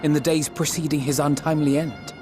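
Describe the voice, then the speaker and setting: An actor playing a thoughtful scholar who reasons through a theory in a measured, analytical way in a game cutscene. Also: male voice, fairly high pitch, level tone, lightly acted. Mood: pensive. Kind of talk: video game cutscene acting. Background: orchestral music.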